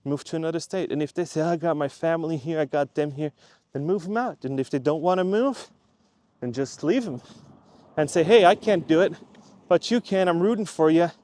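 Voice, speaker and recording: A man's voice: a little high, brisk, darkish tone. A man from Switzerland who runs a YouTube channel, talking casually to the camera in a chatty, rambling way and repeating himself for emphasis. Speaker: YouTube creator.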